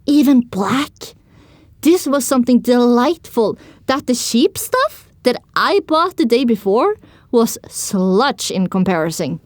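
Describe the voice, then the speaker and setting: Woman as a high-voiced heroine narrating, dry studio sound. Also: slow, dry recording. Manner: descriptive, expressive storytelling. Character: young female pony heroine recounting her own story. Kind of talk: scripted first-person audiobook narration.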